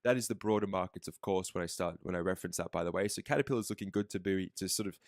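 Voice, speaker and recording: Male trading educator, sick with the flu, fast and fairly low-pitched, self-correcting as he talks. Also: no room sound, clean studio sound. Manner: fast, steady walkthrough with fillers and self-corrections. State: has the flu, losing his voice. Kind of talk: screen-recorded market analysis.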